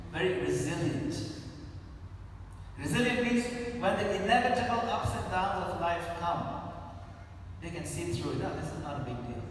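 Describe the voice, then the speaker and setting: Male spiritual lecturer from Kenya, forties, high-pitched, emphatic phrasing with pauses, heard from far off. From Nairobi, Kenya. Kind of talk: spiritual lecture with slides to an audience. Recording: echoey hall, distant mic, faint noise.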